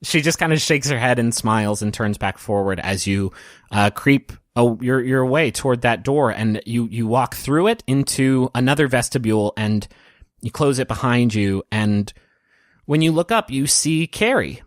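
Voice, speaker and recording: A man narrating a tabletop roleplaying story as game master, in a gentle, halting, descriptive way. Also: medium pitch, expressive, no echo, clean studio sound.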